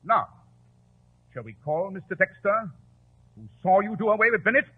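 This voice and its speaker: An actor playing a brilliant, coolly superior detective in a 1940s radio drama, speaking precisely and theatrically. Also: male voice, sits high, big pitch swings, moderate pace. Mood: accusing, forceful. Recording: tinny, noisy 1940s radio recording.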